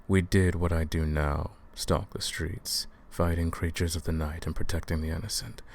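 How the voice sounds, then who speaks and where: Man playing a very deep, gravelly brooding vampire hunter. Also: level tone. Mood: wistful. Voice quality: low, gravelly. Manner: measured, brooding, addresses listener directly. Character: centuries-old vampire who hunts vampires, brooding, menacing, world-weary. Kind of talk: scripted ASMR audio roleplay, dramatic monologue to listener.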